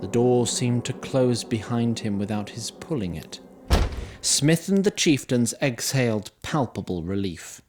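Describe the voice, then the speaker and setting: A man's voice: medium pitch, animated. Male fantasy narrator over noisy ambience. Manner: deliberate, literary, wry. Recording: clear background noise and ambience.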